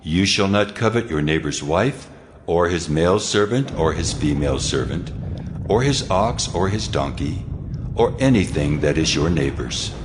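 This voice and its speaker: A man voicing a stern, almighty God in a dramatized scripture reading, solemn and authoritative in measured declarations. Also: low-pitched, animated, slight background noise. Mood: stern, commanding. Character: God, stern commanding almighty male deity.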